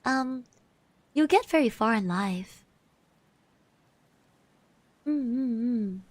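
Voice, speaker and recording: Woman, slightly high voice, very slow pace, soft ASMR delivery, gentle encouragement, thoughtful pauses and hums. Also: animated, dry recording. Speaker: ASMR roleplay streamer. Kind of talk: soft-spoken ASMR roleplay, personal encouragement. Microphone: close microphone, dry.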